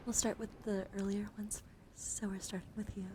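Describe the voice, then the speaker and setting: A woman live streamer doing ASMR, speaking softly and mostly in a gentle whisper to her viewers. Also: medium pitch, flat delivery, fairly quick. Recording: clearly audible background noise.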